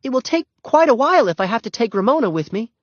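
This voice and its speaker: A man voicing a sensible, bossy older sister, a young girl with a brisk, impatient voice. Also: very animated, no room sound, full character voice. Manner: brisk, impatient, raised high voice. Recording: dull lo-fi dry recording.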